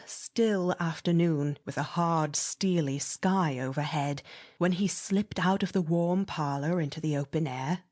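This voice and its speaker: Expressive low-pitched woman narrating a story. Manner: expressive, measured storytelling with dramatic emphasis. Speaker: audiobook narrator. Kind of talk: dramatic literary story narration.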